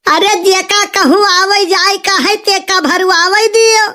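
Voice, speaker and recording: A woman voicing a sharp-tongued, argumentative village mother and wife in a comic cartoon, scolding in an Awadhi accent. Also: very high voice, fairly even intonation, takes it slowly, heavily acted.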